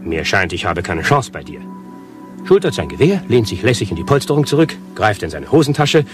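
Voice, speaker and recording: An actor playing an earnest, excitable playwright who narrates scenes and acts out their dialogue theatrically in a satirical radio play. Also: male voice, lowish voice, brisk, over music. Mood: theatrical, suggestive. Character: earnest, excitable male playwright pitching his grotesque play.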